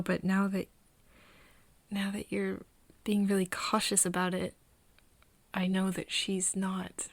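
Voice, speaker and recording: Woman acting as a slow, hesitant, softly whispering friend. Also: medium pitch, no room sound. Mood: cautious, uneasy. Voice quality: soft, breathy, slipping into whispers. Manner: hesitant, halting, with pauses and sighs. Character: nervous, caring young woman confiding in her vampire friend. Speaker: ASMR roleplay performer. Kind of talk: ASMR roleplay, intimate scripted acting. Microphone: close microphone, dry room.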